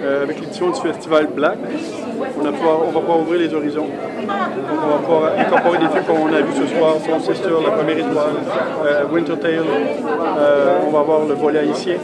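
A man's voice: fairly high pitch, brisk. A man in his thirties answering spontaneously in an interview as a festival organiser. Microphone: handheld interview microphone. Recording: loud crowd in public venue.

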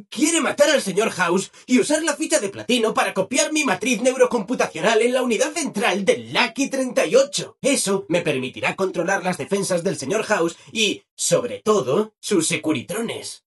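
A man's voice: high voice, fairly bright tone, breathy edge, heavily acted. A man with a Castilian Spanish accent voicing a relentlessly cheerful, eager-to-please robot assistant in a video game, with bubbly, exaggerated enthusiasm. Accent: Castilian Spanish, from Spain. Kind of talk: dubbed video game dialogue.

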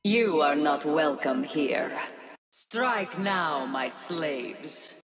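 A menacing warrior matriarch, commands slowly in a low voice. She is imperious and theatrical, drenched in echo like a game villain. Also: expressive, far from the mic, crowd noise, exaggerated voice. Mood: cold, commanding. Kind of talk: slow theatrical game declamation.

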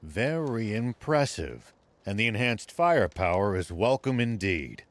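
A man playing a steady, gruff old war veteran in a video game. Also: lowish voice, unhurried, no echo. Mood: calm, pleased. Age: older.

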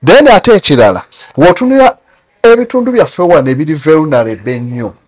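Ugandan man, rapid animated critical commentary, slightly high voice. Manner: animated and critical, mixes English words into his speech. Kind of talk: talk show commentary.

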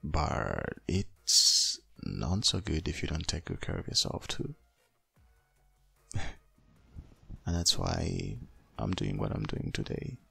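Man acting a very deep, husky boyfriend. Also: big pitch swings, slow, pauses often, faint music behind, exaggerated voice. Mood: affectionate. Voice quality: husky, gravelly, breathy. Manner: soft, intimate murmuring, coaxing. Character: doting, protective, gently dominant boyfriend. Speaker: ASMR roleplay performer. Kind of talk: boyfriend roleplay ASMR, intimate and slow.

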